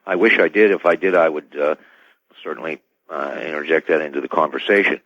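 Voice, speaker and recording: A man answering interview questions as an expert guest on radio, measured and guarded in his wording. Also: rumbling low, no room sound. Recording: dull band-limited radio sound.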